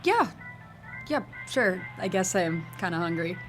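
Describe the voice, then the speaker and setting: A woman's voice: lowish voice, lively intonation, moderate pace, soft background music, natural voice. An actress in an audio drama playing a shy, polite teenage girl hitchhiker, hesitant and self-effacing. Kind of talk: audio fiction drama acting.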